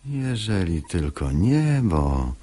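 Deep, husky, sly pious seducer. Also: male voice, sing-song, slow, warm tone, exaggerated voice. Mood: smooth persuasion. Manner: unctuous, persuasive, theatrical verse delivery. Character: sly hypocritical pious seducer, smooth-talking male schemer. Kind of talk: classical stage comedy radio acting in verse.

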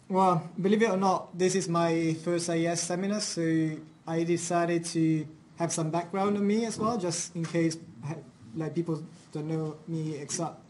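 A man's voice: a little high, darkish tone, a little hiss or hum. A young man from Italy with an Italian accent, presenting his research at a university seminar in an informal way, with many fillers and restarts. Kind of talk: academic seminar talk.

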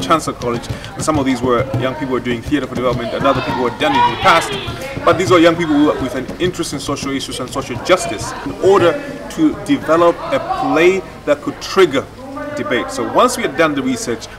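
Man explaining, distant mic, crowd noise behind. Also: mid-range voice. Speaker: project leader of an educational theatre campaign. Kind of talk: documentary interview. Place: outdoors in a garden by a brick building.

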